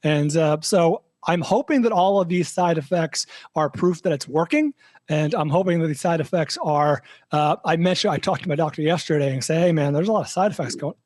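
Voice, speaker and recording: A man with a hoarse, raspy voice, a show regular giving a halting, filler-heavy health update in casual podcast chat. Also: fairly high pitch, darkish tone, no echo, studio quality. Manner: halting, many fillers and restarts.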